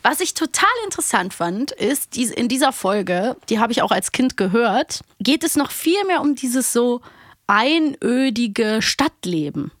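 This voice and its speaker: A woman's voice: fairly high pitch, expressive, a little slow, no echo, studio quality. A woman co-hosting a show in casual, chatty conversation. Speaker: podcast co-host. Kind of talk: casual chat about audio dramas.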